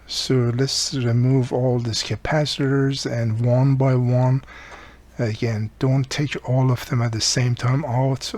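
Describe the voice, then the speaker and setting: A man's voice: medium pitch, flat delivery, takes it slowly, darkish tone. Older man chatting through a radio repair. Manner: chatty, thinking aloud while working. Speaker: electronics repair hobbyist. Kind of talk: casual repair tutorial. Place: home workshop room.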